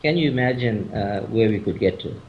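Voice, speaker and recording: A man's voice: on the low side, lively intonation, normal speed, muffled recording, a little hiss or hum. A man talking casually as a business guest in a radio interview, repeating words as he goes.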